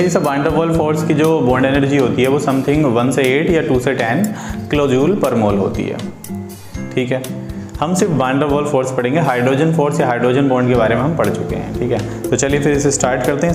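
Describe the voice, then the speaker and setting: A man with an Indian accent teaching informally at a board as a science teacher, repeating key phrases and quizzing his listeners with questions. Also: medium pitch. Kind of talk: informal board lecture for students. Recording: echoey room with faint background noise.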